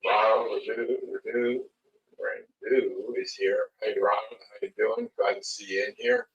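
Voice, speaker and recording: A man's low, slightly raspy voice comes through muffled, as if sitting back from the microphone. He hosts a casual livestream, chatting in a halting, unhurried way. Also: expressive, a bit bright. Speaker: livestream host. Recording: muffled lo-fi audio, distant mic.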